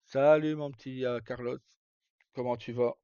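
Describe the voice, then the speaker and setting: A man's voice: mid-range voice, fairly even intonation, moderate pace, dark tone, clean tone. A man chatting casually on a livestream, sweary and colloquial, in short broken-off phrases. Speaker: live streamer. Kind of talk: casual, unscripted livestream chat to viewers. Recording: dull roomy sound with faint music. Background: faint game music.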